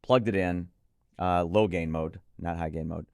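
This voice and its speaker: Deep-voiced emphatic man reviewing gear. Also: fairly even intonation, a little slow, vocal fry, a little mellow, smooth, no room sound, clean studio sound. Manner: emphatic, gushing about gear. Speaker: co-host of an audio gear preview show. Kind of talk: enthusiastic product review chat.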